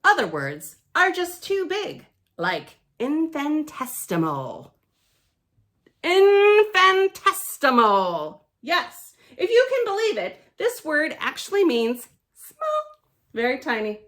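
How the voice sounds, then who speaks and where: A woman reading a picture book aloud to children, theatrically emphatic and playfully grumpy, with chatty deadpan asides. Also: high-pitched. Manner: theatrical, emphatic, with pauses and repeated words for effect. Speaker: library storytime reader.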